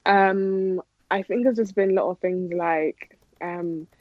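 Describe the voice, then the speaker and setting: A woman's voice: mid-range voice, steady tone, slow, dry recording. Young adult Irish woman, informal interviewee, casual speech full of "like", dry and slightly muffled lo-fi sound. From Ireland. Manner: informal, frequent "like". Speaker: interview guest, athlete. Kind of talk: casual conversational interview answers. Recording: dull, lo-fi audio.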